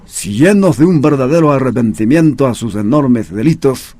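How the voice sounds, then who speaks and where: An actor playing a stern Spanish colonial commander, reading a threatening letter aloud in a formal, measured, declamatory way for a radio drama. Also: male voice, medium pitch, expressive, mellow, no room sound, close to an everyday voice.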